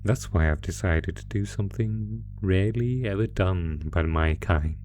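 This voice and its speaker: A man's voice: low voice, a little slow, a little mellow, soft background music. A man voicing a sadistic, predatory monster from under the bed in a horror audio roleplay, taunting the listener with mock-sweet, savoring menace. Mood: sinister, anticipating.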